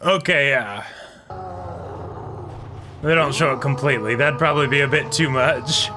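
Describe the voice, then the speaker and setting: A man's voice: medium pitch, moderate pace, background noise. A man co-hosting a casual reaction show, agreeable and chiming in with short affirmations. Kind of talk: casual anime reaction chat.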